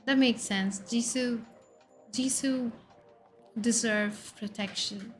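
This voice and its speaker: Woman, 30s, Indian accent, VTuber host; unhurried, bright and chatty, soft music bed. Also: fairly high pitch, fairly even intonation. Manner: chatty, jumps between questions to chat, loud exclamations. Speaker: VTuber streamer. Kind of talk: casual chat reading viewer messages. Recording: faint background music under voice.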